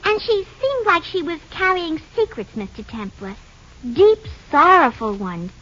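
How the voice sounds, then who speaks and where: A very high, bright sassy American showgirl musician from old-time radio. She puts on genteel airs and slips into slang, chatting away on a muffled vintage recording. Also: very animated, measured pace, heavily acted. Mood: confiding. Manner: affected refinement with slangy slips. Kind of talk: 1940s radio comedy-drama acting.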